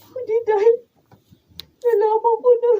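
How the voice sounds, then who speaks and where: A young student daughter with a very high voice, full of hurt. The delivery is melodramatic Filipino radio drama. The sound is a little dull, as if from a lo-fi studio recording. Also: female voice, level tone, unhurried. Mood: sorrowful, confiding. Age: young adult.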